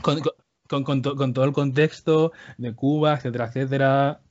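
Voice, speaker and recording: Hombre español de habla vacilante, audio apagado. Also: male voice, medium pitch, steady tone, normal speed, a little mellow. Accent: Spanish from Spain. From Spain. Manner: hesitant, many restarts and repeated words. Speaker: podcast co-host. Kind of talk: casual chat. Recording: muffled lo-fi audio.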